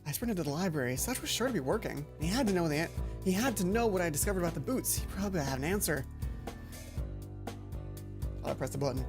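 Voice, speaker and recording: A woman with a fairly low voice hosts a let's play, reading a visual novel aloud over clear background music. She is expressive and informal, tripping over words and swearing as she comments. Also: quick pace, long pauses. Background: game music.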